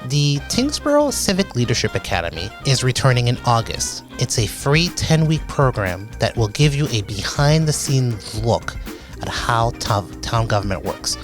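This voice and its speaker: A man's voice: mid-range voice, sing-song, moderate pace, over music. A man hosting a local town program, introducing a guest and reading announcements in a friendly, conversational way, with occasional stumbles. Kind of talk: local cable interview and announcements.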